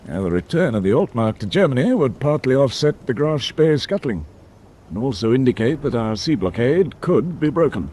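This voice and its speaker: Actor as shrewd senior British naval officer. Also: male voice, mid-range voice, sing-song, normal speed, dark tone, a little hiss or hum, lightly acted. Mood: thoughtful, shrewd. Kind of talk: wartime naval radio drama.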